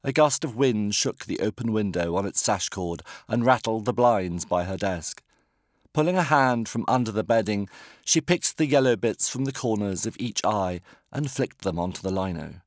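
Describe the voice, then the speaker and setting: A British man reading a comic novel as an audiobook narrator, measured and wry. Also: lowish voice, no echo, clean studio sound. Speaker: author reading own novel.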